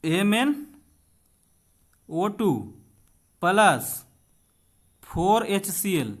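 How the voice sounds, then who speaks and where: A man with an Indian accent teaching an exam-prep lesson, reading questions aloud and explaining them directly to students in an instructive way. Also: sits high. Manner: instructive, addresses students directly, slows down when reading out English.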